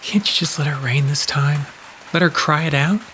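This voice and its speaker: A man's voice: on the high side, fairly even intonation, moderate pace, fairly bright tone, close to an everyday voice. A man in an audio drama playing a kindly, easygoing, slightly sheepish guy with casual, rambling phrasing. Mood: sleepy, puzzled. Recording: faint rain noise behind the voice.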